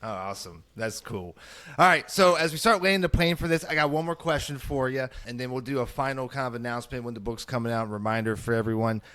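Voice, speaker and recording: A man's voice: medium pitch, fast, fairly bright tone. A man hosting a publishing podcast interview, upbeat and enthusiastic as he reacts and asks questions. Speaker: podcast host, publishing CEO.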